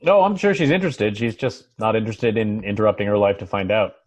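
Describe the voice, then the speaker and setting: Man playing a hesitant husky husband, low-voiced. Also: quick pace, natural voice. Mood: hesitant, resigned. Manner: hesitant, understated. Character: married unemployed man, melancholy and hesitant. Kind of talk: naturalistic table read of a TV drama.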